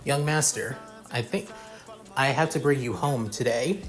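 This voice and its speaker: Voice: medium pitch, a little slow, soft background music, natural voice. A narrator as a polite, hesitant schoolgirl attendant. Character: polite, dutiful middle-school girl attendant.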